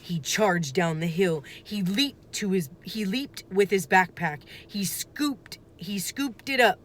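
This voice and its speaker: A woman reading a children's story aloud like a teacher, with deliberate, dramatic phrasing and emphasis. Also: medium pitch, a little hiss or hum.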